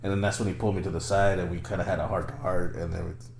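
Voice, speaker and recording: A man's voice: sits low, little pitch movement, rapid-fire, vocal fry. A young man chatting on a podcast, telling rambling, crude, teasing stories with lots of stammered restarts. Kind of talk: casual chat. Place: room in Fresno. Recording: roomy echo, faint background hum.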